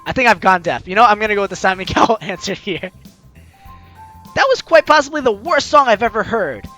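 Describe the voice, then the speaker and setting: A playful, slangy gaming host reading a visual novel aloud and reacting in an animated way. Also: medium pitch, brisk. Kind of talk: lively let's play, reading aloud and reacting. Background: game music.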